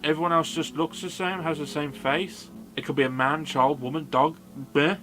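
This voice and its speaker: A man's voice: on the high side, fairly even intonation, moderate pace, music in the background. A man narrating a scripted comedic film review in a sarcastic, sweary manner. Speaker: film review video host.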